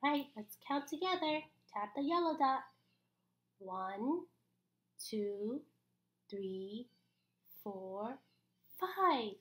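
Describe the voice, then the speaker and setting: A young adult woman reading a picture book aloud to small children in an animated, exaggerated, encouraging way, with pauses for them to join in. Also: on the high side. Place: small indoor room.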